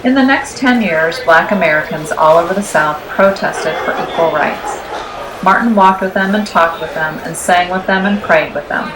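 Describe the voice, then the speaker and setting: Low-pitched woman reading a picture book. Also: normal speed, a crowd in the background, low-quality recording. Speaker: read-aloud storyteller. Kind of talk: scripted children's picture book narration. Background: music behind the voice.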